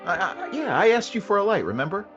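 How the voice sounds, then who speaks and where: An actor playing a terse, businesslike police sergeant who narrates the case in a clipped, matter-of-fact 1940s radio drama style. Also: male voice, sits high, very animated, fast, fairly bright tone. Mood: feigned casual. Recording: dull lo-fi radio sound with music bed.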